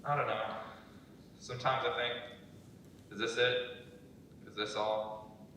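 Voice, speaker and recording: A man's voice: flat delivery, measured pace, pushed and bright. A man acting a weary, disillusioned young student in a staged dramatic reading, recorded from far off in an echoey room. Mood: weary, downcast. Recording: echoey room, far from mic, rumbly noise.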